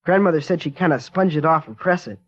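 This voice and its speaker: A man playing an eager, polite teenage boy with a high, youthful voice. He is keen to help. The recording is dull and lo-fi, like an old radio broadcast. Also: fast talker, natural voice. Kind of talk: 1940s radio acting.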